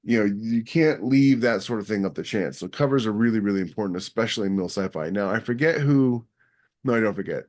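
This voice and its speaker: A man's voice: fairly low pitch, expressive, brisk, darkish tone. A man co-hosting a podcast, explaining his points in an emphatic, assertive way. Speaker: podcast co-host. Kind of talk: casual podcast chat.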